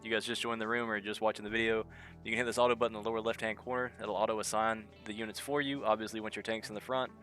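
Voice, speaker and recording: A young man with a head cold talks through a mobile game in an easy, offhand way. His voice sits low and fairly flat, but it has a bright, forceful edge. Faint game music runs underneath. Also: racing. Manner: thinks aloud while reading in-game skill text. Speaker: gaming channel host. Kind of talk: casual gameplay commentary.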